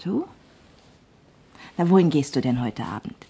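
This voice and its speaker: An actress playing a brisk, teasing office secretary in a German crime radio play. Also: female voice, deep voice, sing-song, warm tone, breathy edge, exaggerated voice. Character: brisk, bossy but friendly female office secretary. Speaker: actor in a crime radio drama.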